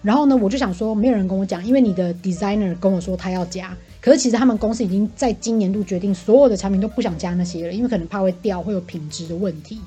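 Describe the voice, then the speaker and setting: A woman with a Taiwanese accent venting about work in casual chat, animated and indignant, mixing in English phrases. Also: medium pitch, very fast, few pauses, faint music behind.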